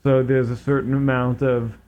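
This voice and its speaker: A man's voice: mid-range voice, little pitch movement, moderate pace, slight background noise. A man hosting a local public access talk show, talking casually with many fillers and restarts. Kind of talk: casual interview chat. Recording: dull lo-fi public access audio.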